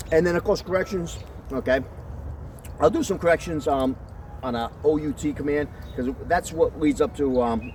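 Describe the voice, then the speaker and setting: A man with a deep voice explaining how to train his dog, in a casual, conversational way that keeps checking in with "okay?". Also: darkish tone. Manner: conversational, often checks in with "okay?", jumps to a high praise voice. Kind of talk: casual outdoor training talk. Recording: distant mic with outdoor street noise.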